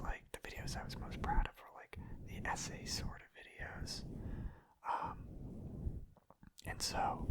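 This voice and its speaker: A man speaking in a breathy, unvoiced whisper, rambling casually and hesitantly in an ASMR style. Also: poor audio. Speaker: ASMR creator.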